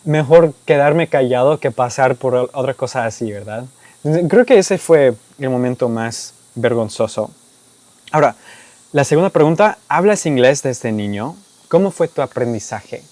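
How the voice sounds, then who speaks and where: Young american guy speaking spanish w/ his american accent, mellow voice, dry close sound, chill storytelling, laughs a bit. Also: medium pitch, moderate pace, dry recording. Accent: American English accent in Spanish. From United States (Texas). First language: English. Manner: relaxed storytelling with frequent restarts and soft laughs. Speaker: language-learning YouTuber. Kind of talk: casual Q&A monologue to camera.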